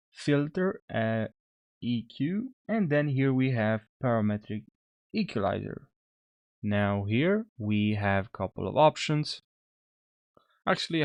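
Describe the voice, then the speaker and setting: Male tutorial host, mid-pitched, informal and a little hesitant, close dry voice-over. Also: a little mellow, no echo, highs cut off. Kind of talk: casual software tutorial narration.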